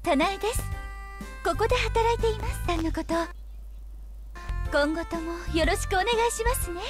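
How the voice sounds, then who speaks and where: A woman voicing a gentle, cheerful, polite mother with a youthful sound in an anime visual novel. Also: very high-pitched, fast talker. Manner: polite, soft-spoken formal speech. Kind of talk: Japanese visual novel voice acting. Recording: game dialogue over clear background music.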